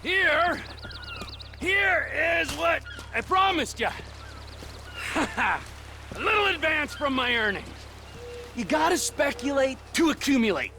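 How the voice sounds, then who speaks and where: Sarcastic crude crime boss, high pitch, very animated intonation, theatrical, mocking, video game. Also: male voice, noisy background, heavily acted. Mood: smug. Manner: theatrical, mocking, drawn-out phrasing. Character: volatile, sarcastic, crude male criminal boss. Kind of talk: video game cutscene acting. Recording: music behind the voice.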